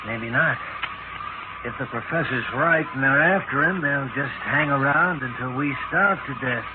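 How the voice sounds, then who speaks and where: A tinny 1940s radio broadcast under heavy noise has a man playing a professor's blunt assistant. He is emphatic, brisk and fond of foretelling doom. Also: mid-range voice, natural voice. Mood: ominous. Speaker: radio actor.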